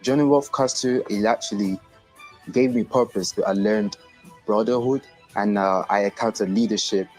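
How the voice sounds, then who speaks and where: A young man of about twenty-one speaks sincerely in a testimonial interview. His voice sits low. Soft music plays faintly underneath. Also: moderate pace, rough recording. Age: young adult.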